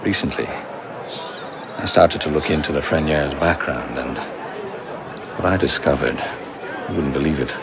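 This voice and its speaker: An actor playing a cautious, formal silversmith held captive, in a video game cutscene. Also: male voice, a bass voice, unhurried, pauses often, crowd noise, background noise. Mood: guarded, courteous. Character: male captive silversmith, cautious, courteous, secretive.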